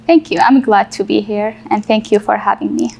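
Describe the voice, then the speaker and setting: Gravelly-voiced young woman from Iraq. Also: medium pitch, fairly even intonation, a little fast, a little mellow. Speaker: painter, interview guest. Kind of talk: casual interview answers.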